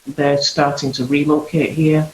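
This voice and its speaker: A woman from Bradford with a Yorkshire accent, a guest investigator speaking in a wry, measured way in a casual interview. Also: low-pitched, little pitch movement, a little mellow. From Bradford, England. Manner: wry, self-deprecating, measured. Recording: echoey room with faint hiss.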